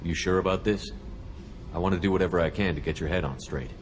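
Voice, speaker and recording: A man playing a steady military commander in a video game, counselling a crewmate in a measured, direct way. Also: sits low, lightly acted. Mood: calm, probing. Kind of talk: video game cutscene acting. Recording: long reverb, faint background noise.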